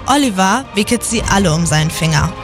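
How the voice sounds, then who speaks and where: Woman, mid-pitched, scripted radio narration, film reviewer, music bed underneath. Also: lively intonation, fairly quick.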